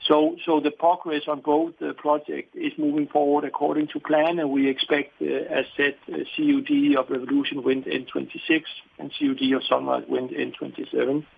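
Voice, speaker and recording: A man answering questions as a company executive on an earnings call, halting and often repeating his words. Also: a little high, level tone, creaky, slight background noise. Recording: tinny phone-like call line.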